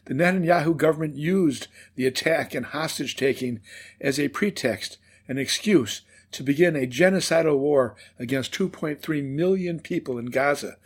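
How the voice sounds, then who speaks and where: A man hosting a talk show, reading a measured opening and introducing his guest. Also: on the high side. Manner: measured, read-aloud delivery. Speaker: radio show host. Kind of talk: scripted commentary and interview intro.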